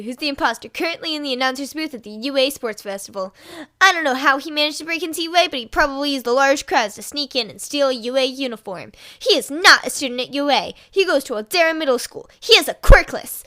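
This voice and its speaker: A tattling, self-important schoolkid in a story, voiced by a woman reader. The delivery is formal and indignant, in a high voice. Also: lively intonation, fast, sharp tone.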